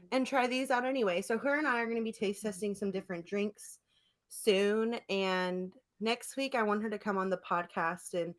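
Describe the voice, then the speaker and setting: A woman's voice: on the high side, a bit bright. A woman co-hosting a podcast in casual chat, effusive and giggly in her delivery. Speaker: podcast co-host. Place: remote internet call.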